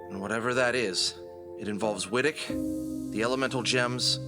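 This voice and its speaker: A man playing an earnest adventurer who leads a gang through a grim crisis, briefing them seriously and deliberately in a fantasy audio drama. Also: mid-range voice, lightly acted.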